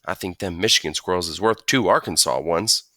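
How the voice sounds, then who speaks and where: A man's voice: sits low, brisk, pushed and bright, dry recording, clean studio sound. A man voicing a friendly, talkative country boy from Arkansas with a drawl and folksy phrasing. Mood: eager, chatty. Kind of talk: audiobook character voice.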